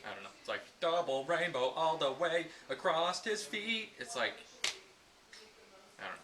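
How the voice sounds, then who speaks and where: A young man joking about his video partner in a mock behind-the-scenes interview, halting with many restarts. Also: a little high, sing-song, crowd noise. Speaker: video creator.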